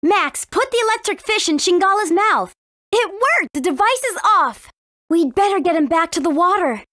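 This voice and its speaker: Spirited american teen girl princess from a video game, high lively voice, super dry studio sound. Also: moderate pace, no echo, heavily acted. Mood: urgent. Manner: expressive, emphatic delivery. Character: spirited, earnest, cautious teenage warrior princess. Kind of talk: English video game voice acting.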